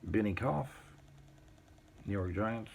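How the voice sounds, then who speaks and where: Low, gravelly-voiced man reading out collectibles. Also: takes it slowly, slight background noise. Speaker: collector showing vintage baseball cards. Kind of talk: casual unscripted show-and-tell, reading out cards.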